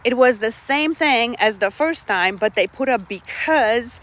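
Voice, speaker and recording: A woman's voice: fairly high pitch, expressive, measured pace, a bit bright. A middle-aged woman from Romania, giving casual life advice in an earnest way and often checking in with her listeners. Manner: earnest, keeps checking in with "okay?" and "right?".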